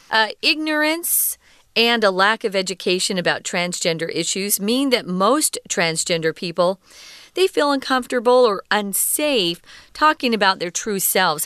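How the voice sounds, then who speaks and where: A woman teaching English. She reads a passage aloud and patiently explains each word, often pausing with "um" and "uh.". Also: lowish voice, fairly bright tone, clean tone, no echo, studio quality. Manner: patient, defines words step by step; frequent ums and uhs.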